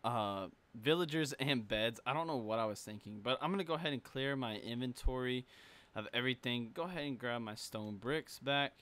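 A man's voice: mid-range voice, a bit bright. A young man with a sore throat, casually talking through a game building tutorial with plenty of fillers and restarts. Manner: casual, rambling, lots of fillers. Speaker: gaming tutorial creator.

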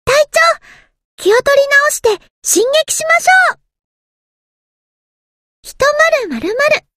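A woman's voice: extremely high pitch, sing-song, unhurried, bright, forceful tone, no echo, studio quality, heavily acted. A woman voicing a small, timid, earnest anime game submarine girl, with a thin childlike voice and a hesitant, polite manner. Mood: determined, polite. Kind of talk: anime game character voice lines.

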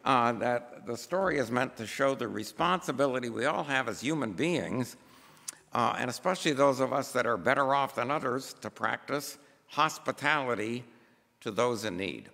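Gravelly older American pastor in church. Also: male voice, medium pitch, expressive, sharp tone. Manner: halting, unhurried delivery with pauses. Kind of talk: sermon. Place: church pulpit, indoors. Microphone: gooseneck pulpit microphone. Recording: reverberant church hall.

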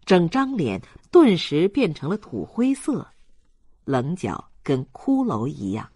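An audiobook narrator reading a literary story in a measured, dramatic storytelling manner. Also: mid-range voice, slightly rough, dry recording, studio quality.

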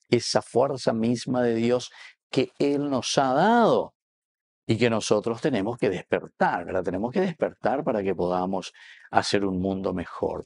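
Low-voiced Paraguayan priest. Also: male voice, gravelly, a little mellow, breathy edge, dry recording. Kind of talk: sermon with scripture reading. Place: small indoor wood-panelled chapel. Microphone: lapel mic.

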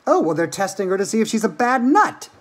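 A woman's voice: mid-range voice, very animated, fast, voice pushed up. A woman voicing a blithe, eccentric male factory owner in a storybook, breezy and matter-of-fact.

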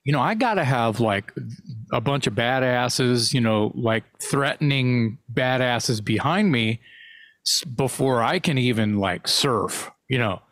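A man co-hosting a podcast, talking in a rambling, halting way with casual swearing. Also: medium pitch, lively intonation, a bit bright, dry recording. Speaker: podcast co-host. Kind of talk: casual interview chat.

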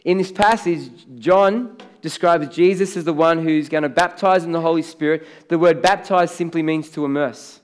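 Australian pastor preaching, higher-pitched man. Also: moderate pace. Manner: conversational preaching, rising to emphatic on key words. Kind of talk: sermon. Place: large church stage with blue lighting.

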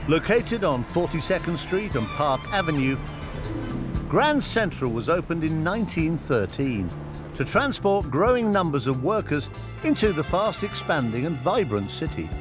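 A man narrating a documentary in a measured, scripted voice-over. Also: on the high side. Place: studio voice-over over music.

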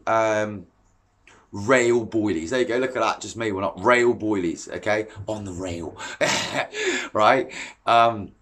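Fishing vlogger, man in his thirties, animated and blunt, talking to a webcam in his living room. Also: fairly low pitch. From England. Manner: blunt, animated and exasperated, gestures while talking. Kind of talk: ranting to camera. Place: living room at home, indoors. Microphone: webcam at desk distance.

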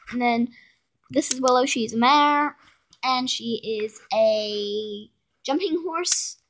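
A woman's voice: fairly high pitch, slow, long pauses, fairly bright tone, a little hiss or hum. A young woman giving a casual home video tour of her toy horse barn, hesitant and often correcting herself, filmed on a handheld phone indoors. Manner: hesitant, self-correcting, many ums. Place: carpeted room at home, indoors. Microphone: handheld phone camera.

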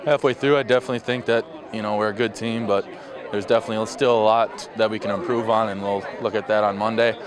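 A young man, a college football player, answering postgame questions outdoors in a measured way with filler ums. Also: lowish voice, steady tone. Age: young adult. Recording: crowd chatter outdoors.

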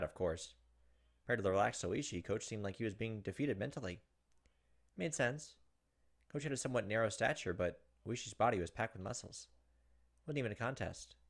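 A man's voice: on the low side, gravelly, a bit bright. A man in his thirties hosting a let's play, reading game narration aloud with casual asides.

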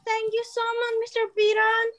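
A young woman voicing a naive, curious elephant child in halting amateur readers theater over a home video call. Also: very high voice, monotone, a bit bright. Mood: grateful, amazed. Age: young adult.

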